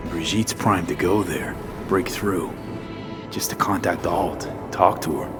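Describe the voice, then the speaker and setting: A man's voice: low voice, unhurried. A man playing a wary, blunt young cyberpunk mercenary in a video game, with clipped, slangy American speech. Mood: skeptical, curious. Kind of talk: gritty video game acting. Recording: loud music over dialogue.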